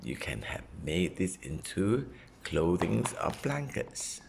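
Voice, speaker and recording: A man reading a children's nonfiction book aloud as a parent would, in a deliberate way suited to a young child. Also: deep voice, sing-song, vocal fry, background noise. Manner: deliberate, repeating and simplifying phrases for a child.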